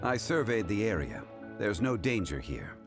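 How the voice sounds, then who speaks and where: Game lead: deep, stately man, measured delivery. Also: wildly swooping pitch, creaky, music in the background, full character voice. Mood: calm. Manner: measured, formal, unhurried. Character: calm dignified ancient man, composed scholar.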